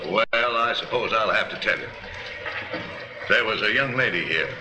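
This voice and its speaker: An actor playing a cornered crooked banker in a 1930s film, evasive and indignant, protesting in a theatrical manner. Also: male voice, fairly low pitch, normal speed, natural voice. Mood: evasive, defensive. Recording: echoey 1930s film soundtrack.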